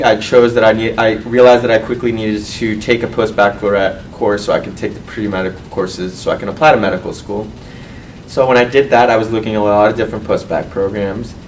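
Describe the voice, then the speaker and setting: Male student, twenties, earnest and halting testimonial, fairly low voice, off-mic sound with faint noise. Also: recorded from a distance. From Colombia, raised in California. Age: 20s. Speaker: medical student and university board representative. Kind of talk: personal testimonial to camera.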